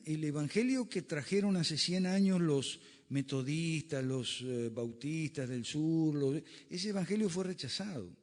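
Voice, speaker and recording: A man's voice: on the high side, darkish tone. A man giving a classroom lecture with slides, emphatic and fond of rhetorical questions. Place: lecture room. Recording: reverberant lecture hall.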